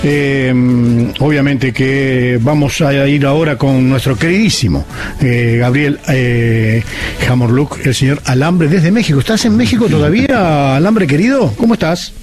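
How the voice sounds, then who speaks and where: A man's voice: mid-range voice, measured pace, darkish tone, soft background music. A man with an Argentine accent hosting a show in casual, joking chat. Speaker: radio or TV show host.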